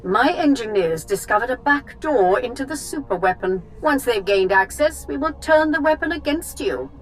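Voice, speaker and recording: A woman's voice: fairly high pitch, expressive, normal speed, soft background music, close to an everyday voice, poor audio. A woman playing an imperious, defiant villainous empress in a video game, haughty and theatrical. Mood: haughty defiance. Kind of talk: cinematic game dialogue.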